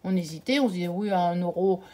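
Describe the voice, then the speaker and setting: A woman hosting her own channel, comparing two products in a casual, hesitant way with frequent filler pauses. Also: fairly low pitch, dark tone. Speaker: channel host reviewing tarot decks.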